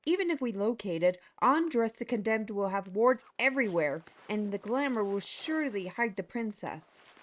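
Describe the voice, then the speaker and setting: A woman's voice: medium pitch, lively intonation, moderate pace, hardly any pauses, natural voice. A woman playing a blunt, impatient heroine.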